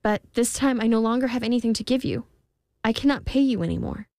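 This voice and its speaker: A woman's voice: a little high, expressive, fast talker, darkish tone, no echo, studio quality, pitched above the performer's own voice. A woman doing a pleading young girl. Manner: pleading, earnest. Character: young miller's daughter, anxious and pleading.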